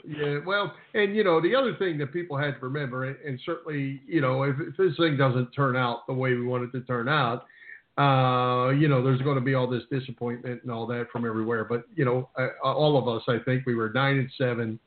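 A man's voice: medium pitch, steady tone, a little fast. An American man hosting a casual sports talk show, conversational and a bit rambling as he repeats words. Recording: roomy, phone-like narrowband radio line.

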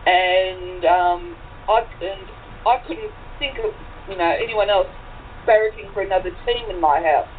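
An Australian woman answers questions in an easy chat, working through her explanations slowly with fillers and repeated words. Her voice sits at a middle pitch. She is heard over a narrow, phone-like line with a light hiss. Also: expressive. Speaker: guest. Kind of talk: casual interview chat. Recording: tinny phone-like line, faint noise.

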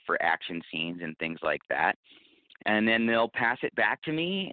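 Low-voiced man on a phone-like line. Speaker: podcast producer interviewed as guest. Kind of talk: conversational interview answers. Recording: narrow phone-like line, roomy echo.

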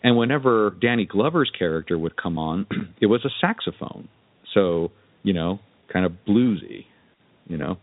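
A man's voice: sits low, expressive, normal speed, no room sound. A man hosting a podcast, chatting casually in a rambling, hesitant way with loose filler phrases. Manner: rambling, hesitant, frequent "you know" and "kinda". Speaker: podcast host. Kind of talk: casual interview chat. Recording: dull lo-fi, dry podcast audio.

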